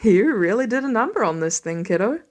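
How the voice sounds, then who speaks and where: A woman voicing a patient, protective father with a sympathetic, gently teasing manner in a narrated story. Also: medium pitch, sing-song, fairly quick, lightly acted.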